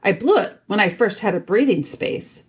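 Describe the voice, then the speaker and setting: A woman's voice: medium pitch, expressive, moderate pace, husky. A woman voicing a stern, exasperated young king who speaks commandingly in a storybook reading.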